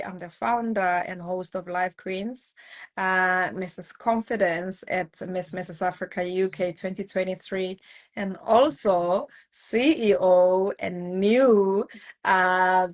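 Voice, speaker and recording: Woman show founder and host, very slow and measured, formal intro on a video call. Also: mid-range voice.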